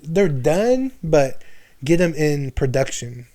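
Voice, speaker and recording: A man's voice: a little high, very animated, slow, dark tone. A man in his twenties talking candidly and casually as a podcast guest, using slang and swearing freely. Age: 20s.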